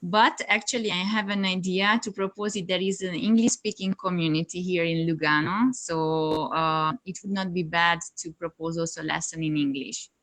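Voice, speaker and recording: A woman whose first language is Hungarian, talking in a somewhat low voice. She speaks in a halting way, full of fillers and small laughs, with the sound of a video call. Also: fairly even intonation, fairly bright tone. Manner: hesitant, many fillers, laughs often. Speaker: yoga teacher, guest. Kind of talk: casual interview chat.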